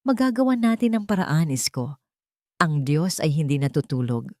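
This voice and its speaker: Gentle, caring poor young wife, as a narrator voices her. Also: sits low, big pitch swings, moderate pace, no echo, clean studio sound. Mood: hopeful, reassuring. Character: kind, devout, poor young wife and mother. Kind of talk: audio story character dialogue.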